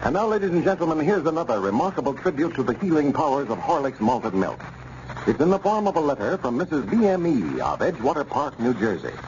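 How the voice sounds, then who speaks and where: A male American radio announcer delivers scripted sponsor copy in a formal 1930s style. His medium-pitched voice moves in lively swoops. The recording is dull, with faint hiss. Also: fast talker. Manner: formal, polished.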